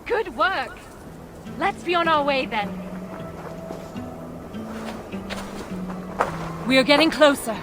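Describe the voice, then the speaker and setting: High-voiced, blunt dwarf woman. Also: animated, normal speed, music in the background. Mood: confident. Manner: blunt, confident, teasing. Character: brisk, stubborn, sharp-tongued female dwarf rebel. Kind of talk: conversational video game acting.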